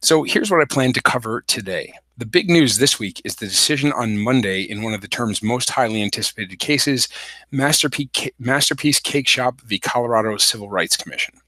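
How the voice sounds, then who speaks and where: A man hosting a solo legal explainer livestream, hoarse from a cold, talking with many fillers and restarts. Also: medium pitch, a little fast, sharp tone, no room sound, rough recording. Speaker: podcast host.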